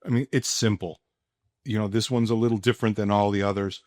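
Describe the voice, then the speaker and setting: Man, low pitch, podcast host, casual sports talk, emphatic, deliberate pauses, dry sound. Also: fairly even intonation, darkish tone, no echo.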